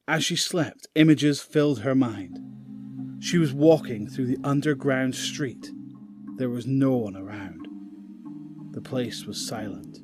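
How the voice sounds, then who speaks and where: A man narrating an audio drama in a measured storytelling voice of middle pitch. Clear music plays underneath his reading. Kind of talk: scripted narration.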